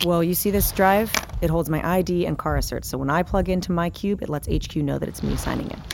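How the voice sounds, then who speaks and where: A woman's voice: fairly low pitch, fast, gravelly, noisy background. An actress playing a woman operator who works as a sweet, attentive virtual assistant in an audio drama. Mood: brisk, wry.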